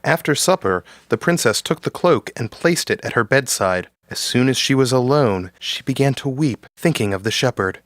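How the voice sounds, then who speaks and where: A man's voice: mid-range voice, animated, moderate pace, hardly any pauses, a bit bright, no echo. A man narrating a scripted story as the narrator of an audio drama.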